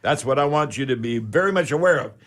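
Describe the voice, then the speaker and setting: A man's voice: medium pitch, animated, fast talker, a bit bright. An older man in his seventies with a Canadian accent hosts a live radio show in a casual, rambling, chatty, folksy way.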